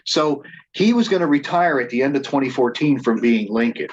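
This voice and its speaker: A man's voice: medium pitch, lively intonation, brisk, dull audio, slight background noise. A man who leads a band, telling stories in a casual interview and often restarting and repeating words as he goes. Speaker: band leader and reenactor being interviewed.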